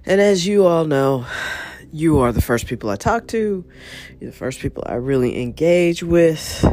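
A woman hosting her show alone, husky and a little nasal from congestion. She talks bluntly and with energy, and she swears casually. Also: low-pitched, expressive, normal speed, dark tone. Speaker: show host. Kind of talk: opinionated solo monologue.

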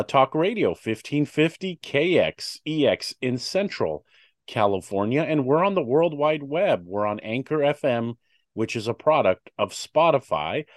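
A man's voice: mid-range voice, dry recording, clean studio sound. A man hosting a public affairs talk show, chatty with many fillers and restarts. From United States. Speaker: host.

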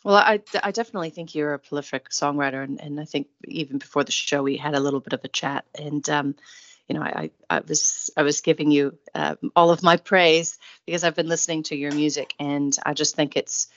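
A woman's voice: deep voice, fairly even intonation, brisk, no echo, muffled recording. A woman hosting a music show in a casual interview style, with a gushing, admiring manner.

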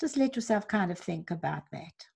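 A woman leading parents through a slow, gentle guided meditation, asking soft reflective questions with long pauses. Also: fairly low pitch, expressive, gravelly, husky. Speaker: parenting coach guiding a reflection exercise.

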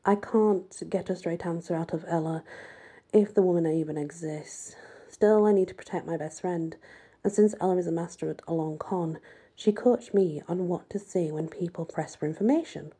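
A woman's voice: on the low side, normal speed, warm tone, slightly rough. A woman reading a first-person novel aloud as scripted audiobook narration. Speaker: audiobook reader.

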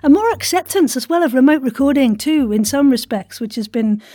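A woman's voice: high voice, expressive, brisk, mellow, dry recording, clean studio sound. A woman hosting a podcast with an effusive, theatrical manner, moving between scripted-sounding introduction and interview chat. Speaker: podcast host. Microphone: close home studio microphone.

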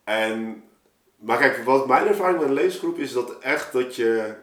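A man's voice: medium pitch, expressive, unhurried, fairly bright tone, far from the mic. A man speaking casually as a guest in a podcast discussion. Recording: echoey room, off the mic.

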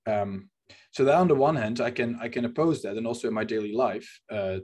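A man's voice: medium pitch, brisk, a little mellow. A man from the Netherlands with a Dutch accent, a native Dutch speaker, hosting a podcast in earnest, searching talk with frequent fillers. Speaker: podcast host. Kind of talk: reflective podcast discussion.

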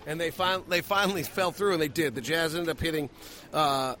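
A man's voice: a little high, brisk, bright, forceful tone, slight background noise. A man hosting a sports postgame podcast, talking emphatically as a broadcaster does. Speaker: podcast host, radio play-by-play broadcaster.

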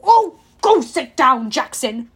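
Storybook god: woman plays a petulant male immortal, sarcastic and bored, unhurried, fairly high voice. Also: sing-song, fairly bright tone, husky, full character voice. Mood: bored scorn. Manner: sarcastic, dripping false sympathy, sighing.

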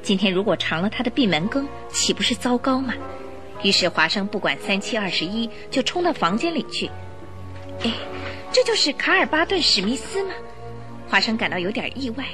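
A woman narrating a radio drama in an expressive, scripted storytelling style. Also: on the high side, fairly quick, music in the background.

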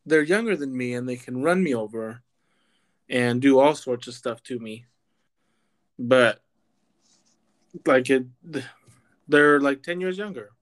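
A man in his late twenties chatting on a podcast with a mid-pitched voice. He is relaxed and self-mocking, and he laughs easily at his co-host's jokes. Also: unhurried, long pauses. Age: 20s. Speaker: podcast co-host. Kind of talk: casual banter podcast.

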